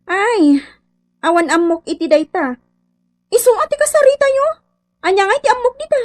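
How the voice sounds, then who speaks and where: An actress playing a boastful, showy contractor's wife in a comedy radio drama, with a haughty manner. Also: female voice, very high voice, normal speed, no room sound. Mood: dismissive. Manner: haughty, bragging.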